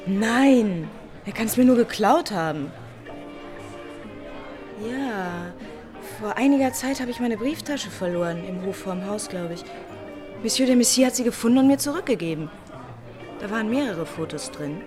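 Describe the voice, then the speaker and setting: An actress playing a fun-loving, self-possessed young woman in a German radio crime drama, coolly coy and unhurried. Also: mid-range voice, expressive, over music, natural voice. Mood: cool, evasive. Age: young adult.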